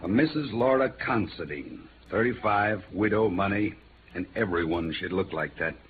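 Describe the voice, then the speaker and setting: A shrewd, world-weary lieutenant talks in a fairly low American voice with sardonic, colloquial ease. It is vintage radio acting on a narrow-band recording with clear noise. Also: male voice, lively intonation, moderate pace. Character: big, round, shrewd middle-aged homicide lieutenant. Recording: noisy, thin old radio recording.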